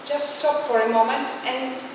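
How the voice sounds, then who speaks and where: A woman from Poland with a Polish accent, speaking non-native English haltingly as she gives a spiritual reflection in a speech. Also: high-pitched, fairly even intonation. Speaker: religious leader, speaker. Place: large echoey hall, speaking to a gathering. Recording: echoey hall from far off, noisy.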